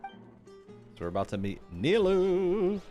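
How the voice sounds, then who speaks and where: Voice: medium pitch, sing-song, takes it slowly, mellow. A game streamer reacting live to a playthrough in a playful, joking way, talking back to the game. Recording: game music behind the voice. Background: video game music.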